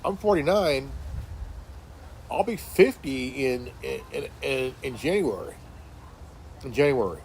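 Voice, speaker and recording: Late-forties man, solo talk, slow drawl, hesitant restarts, mid pitch. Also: animated, creaky, slight background noise, rough recording. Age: 40s. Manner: halting, many fillers and restarts. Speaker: commentary channel host. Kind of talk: casual monologue. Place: sitting in a chair indoors.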